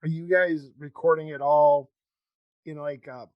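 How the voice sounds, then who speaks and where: A man hosting a casual interview podcast, talking in a halting, rambling, informal way. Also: a little high, flat delivery, no echo, dull audio. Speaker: podcast host.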